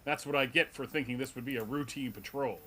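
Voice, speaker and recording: A man's voice: mid-range voice, quick pace, fairly bright tone, lightly acted. A man playing an earnest, alert superhero, speaking in exclamations in a dramatic table read of a comic book. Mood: alarmed, determined. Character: earnest, alert adult male flying superhero.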